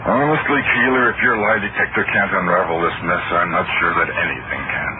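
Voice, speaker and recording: A man playing a brisk, commanding district attorney in an old-time radio drama, with an authoritative, clipped, formal delivery. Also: sits low, natural voice. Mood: puzzled, earnest. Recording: noisy, muffled old radio broadcast.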